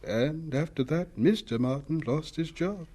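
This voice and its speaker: Husky, mellow old professor. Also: male voice, on the high side, a little slow. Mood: reflective. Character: gentle, humble older professor reminiscing. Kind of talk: radio drama acting.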